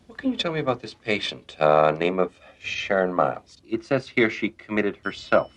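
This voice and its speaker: A kindly doctor in a TV drama, with a low voice and lively, moving intonation. He is patient and inquisitive, probing gently with small hesitations. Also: normal speed. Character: kindly, inquisitive male psychiatrist, calm and patient. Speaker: actor in a television drama. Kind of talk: naturalistic television drama dialogue.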